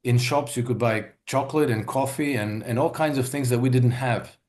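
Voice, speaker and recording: A man's voice: mid-range voice, moderate pace, darkish tone. A man who grew up in Croatia, a market analyst guest, explaining his views in an interview with frequent fillers and emphatic stresses. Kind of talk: interview conversation.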